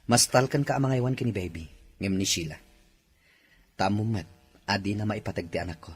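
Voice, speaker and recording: A man's voice: on the low side, fairly quick, breathy edge. A man voicing a kindly, authoritative older company owner who speaks to his son and his housemaid in a radio drama. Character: older male company owner, father and employer.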